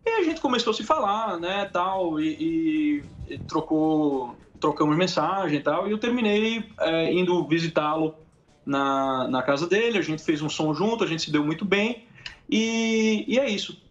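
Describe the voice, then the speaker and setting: A Brazilian man giving casual, colloquial interview answers, haltingly, with frequent little tag questions. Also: on the high side, expressive, highs cut off. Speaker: interview guest, musician.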